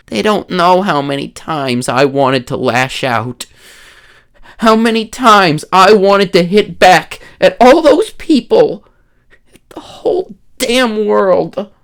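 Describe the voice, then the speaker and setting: A woman's voice: lowish voice, expressive, slow pace. A woman playing a traumatized, bullied young law student with bitter defiance, speaking earnestly and emotionally in a comic dub. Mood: bitter, confessional. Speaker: voice actor. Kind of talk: dramatic comic dub acting.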